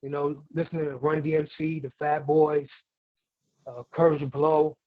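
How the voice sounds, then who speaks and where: Male guest, forties, slightly high voice, laid-back slangy storytelling, tinny phone-like audio. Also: level tone, moderate pace, no echo. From Germany and Missouri, USA. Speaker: guest, hip hop artist and producer. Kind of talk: casual interview chat.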